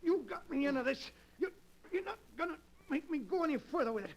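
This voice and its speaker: A man's voice: extremely high pitch, expressive, fairly quick. An actor playing a nervous, guilt-ridden man dragged into crime, anxious and pleading in 1940s radio melodrama style. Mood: defiant, desperate. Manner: anxious, pleading, breathy outbursts. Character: desperate, guilt-ridden locksmith, nervous reluctant male criminal.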